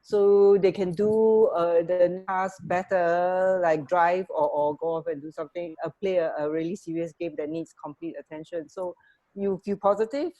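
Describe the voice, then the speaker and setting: A woman co-hosting a radio show in casual chat, halting as she searches for words. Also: on the low side, steady tone, dark tone, lo-fi sound.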